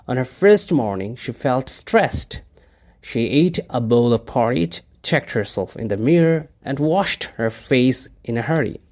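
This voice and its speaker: A man from Bangladesh whose first language is Bengali, speaking English with a Bangladeshi accent as he reads a set passage aloud with careful, deliberate delivery. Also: mid-range voice, a little mellow. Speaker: dialect archive speaker. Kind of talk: reading a set passage, then speaking about his culture.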